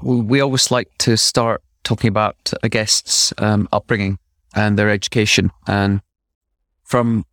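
A man's voice: fairly low pitch, fairly bright tone, no echo, clean studio sound. Man hosting a podcast interview. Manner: reads the intro smoothly, chats with small restarts. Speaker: podcast host. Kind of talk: interview podcast with scripted intro. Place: home in Brooklyn, remote call.

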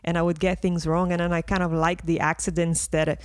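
A woman's voice: on the low side, flat delivery, a little fast. A middle-aged woman from Brazil with a Brazilian accent mixed with South London, chatting as an interview guest, laughing often and restarting her sentences. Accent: Brazilian with a South London mix. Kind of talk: casual interview chat.

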